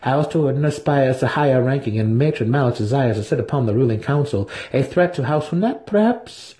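Guy putting on a mocking dark elf lady, pitched up, suspicious and probing, fantasy audiobook. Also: lively intonation, brisk, close to an everyday voice. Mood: amused, suspicious. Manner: amused, probing. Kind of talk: dramatic fantasy audiobook reading.

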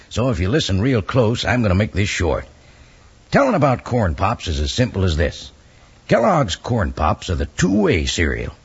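An American man reading a folksy 1950s radio advertisement for children, with a gravelly voice. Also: lowish voice. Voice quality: gravelly, husky. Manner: folksy, direct. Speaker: commercial pitchman. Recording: muffled old radio recording.

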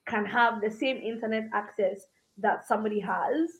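A woman's voice: mid-range voice, moderate pace, low-quality recording. A woman from Ghana with an American accent, a musician chatting casually and hesitantly as a podcast co-host. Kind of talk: casual conversation.

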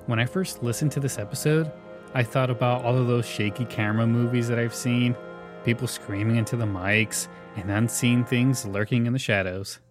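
Male podcast host over soft music. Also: mid-range voice, steady tone, normal speed. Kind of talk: scripted introduction.

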